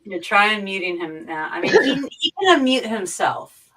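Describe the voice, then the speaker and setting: A woman talking casually on a livestream, explaining things informally and haltingly, often repeating her phrases. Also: mid-range voice, very animated, a crowd in the background. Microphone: boom mic, sitting back from it. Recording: distant from the microphone.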